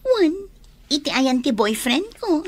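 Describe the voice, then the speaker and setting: High-voiced, boastful young Ilocano woman. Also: sing-song, takes it slowly, full character voice. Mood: coaxing. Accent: Ilocano Filipino. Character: boastful young woman chasing a rich boyfriend. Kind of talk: radio drama acting.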